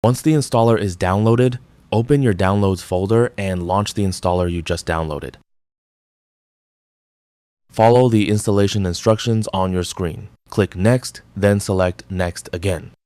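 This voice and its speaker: A man reading a scripted how-to guide, giving clear step-by-step instructions. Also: low voice, measured pace, dark tone, dry recording. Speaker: tutorial narrator.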